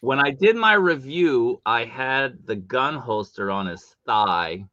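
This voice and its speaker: Loud, chatty stream host, bright voice. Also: male voice, medium pitch, animated, slow pace, dry recording, highs cut off. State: coming down with a cold. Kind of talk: casual live stream chat.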